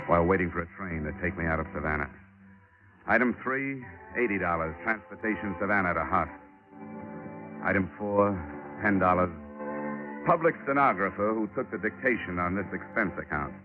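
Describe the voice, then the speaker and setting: A hard-boiled, wisecracking insurance investigator, played by a man with a lowish voice and a dry, weary edge. Heard in an old 1940s radio drama, a little muffled, with loud background music swelling under him. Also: normal speed, natural voice. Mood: wry. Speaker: narrator and lead.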